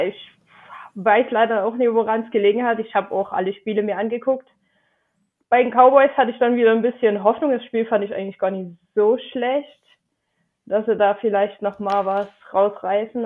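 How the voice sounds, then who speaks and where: A woman from Dresden with a light Saxon accent, chatting as a podcast guest in a friendly, hesitant way with many fillers. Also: medium pitch. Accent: Saxon German. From Dresden, Germany. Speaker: podcast guest, football fan. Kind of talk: casual podcast chat. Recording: tinny phone-like line.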